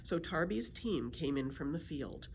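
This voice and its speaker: A woman reading a children's storybook aloud as a librarian, in an expressive storytelling manner. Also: sits low, unhurried, a little hiss or hum.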